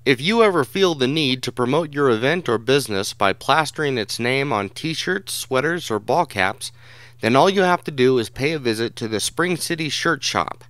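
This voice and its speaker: A man's voice: mid-range voice, animated, moderate pace, a bit bright, no room sound. A man reading scripted narration as a voice-over narrator and reporter.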